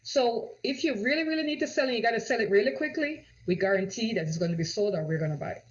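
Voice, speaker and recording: A woman from Jamaica with a Jamaican accent, a real estate agent pitching her home-selling guarantee, full of rhetorical questions and a repeated "right?". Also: expressive, quick pace, a little hiss or hum. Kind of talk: direct-to-camera sales pitch. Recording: dull lo-fi audio.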